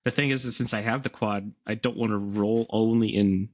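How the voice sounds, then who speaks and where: A man's voice: lowish voice, steady tone, moderate pace. A man co-hosting a podcast, explaining things in casual conversation. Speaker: podcast co-host.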